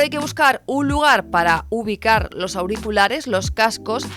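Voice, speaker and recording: A woman narrating a light scripted list video in a playful, wry, questioning manner. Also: mid-range voice. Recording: music behind the voice. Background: music.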